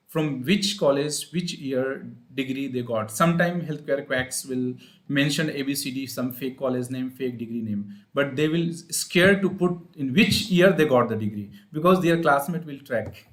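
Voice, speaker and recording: Indian-accented man explaining things, haltingly. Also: mid-range voice, fairly bright tone. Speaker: speech-language pathologist hosting an advice livestream. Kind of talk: informal explanatory live talk to viewers.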